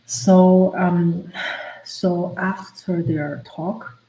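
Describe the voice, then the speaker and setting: A woman whose first language is Chinese, chatting on a study livestream in a halting way, with many fillers and restarts. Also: on the low side, steady tone, mellow. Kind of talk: casual study-stream chat. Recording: echoey room with faint ambient noise.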